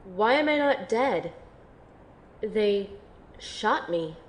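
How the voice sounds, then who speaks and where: A woman playing a wounded, frightened young woman in an amateur audio drama, speaking haltingly, weary and vulnerable. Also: mid-range voice, animated, a little hiss or hum. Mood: dazed, weak. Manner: halting, hesitant delivery. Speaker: voice actor playing narrator and lead character.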